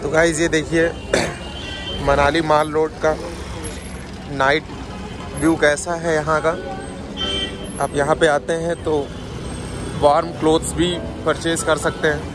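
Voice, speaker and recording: Young male travel vlogger, slightly high voice, chatty guide tone, phone camera, night street, loud music behind. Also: little pitch movement, slow pace, long pauses. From Lucknow, India. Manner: chatty, addresses viewers as guys, guide-like pointing out sights. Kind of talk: casual walking tour narration. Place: busy outdoor street at night.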